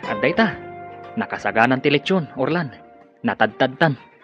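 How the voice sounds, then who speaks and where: A man's voice: mid-range voice, expressive, moderate pace, lightly acted. A man acting a friendly, hopeful worker in a radio drama. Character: friendly, hopeful male worker chatting with his mate. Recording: muffled radio drama with music behind. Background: music.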